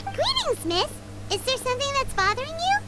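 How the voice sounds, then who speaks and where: A woman voicing a tiny, cheeky, childlike fairy companion in a video game, squeaky and boastful. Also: big pitch swings, brisk, heavy background noise. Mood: perky, curious. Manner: perky, boastful. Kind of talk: English game dub acting. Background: game music.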